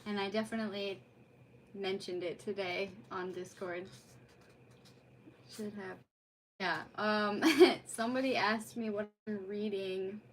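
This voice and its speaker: A woman's voice: mid-range voice, steady tone, measured pace, pauses often, a little hiss or hum, low-quality recording. A woman chatting casually on a livestream in an effusive, rambling way, as host of a read-aloud. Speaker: streamer hosting a live read-aloud.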